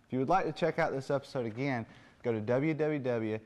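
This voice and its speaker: A man's voice: mid-range voice, brisk, a little mellow. A man hosting a show, presenting scripted links to the viewer. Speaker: TV show host.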